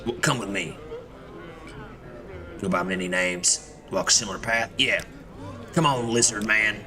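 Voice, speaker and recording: A man's voice: on the low side, unhurried, a crowd in the background. A man giving casual, rambling gameplay commentary as a streamer, jokey and profane. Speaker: video game streamer. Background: game music.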